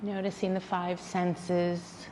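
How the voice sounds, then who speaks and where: A woman leading a guided yoga nidra relaxation, speaking in a soothing, gentle way with unhurried pauses. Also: on the low side, little pitch movement. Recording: long reverberant hall, faint background noise.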